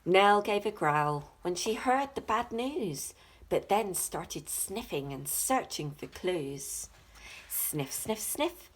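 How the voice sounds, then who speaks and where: Woman reading a picture book, very animated. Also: mid-range voice, a little hiss or hum. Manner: dramatic, deliberate, rhythmic rhyme reading. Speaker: teacher reading a storybook. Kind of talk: expressive children's picture book narration.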